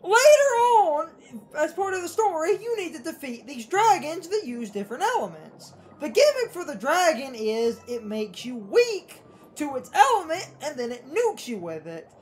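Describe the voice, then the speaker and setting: A woman's voice: high-pitched, big pitch swings, moderate pace, a little mellow, a little hiss or hum. A woman giving casual gaming commentary in a blunt, opinionated way. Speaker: co-host of a let's play series.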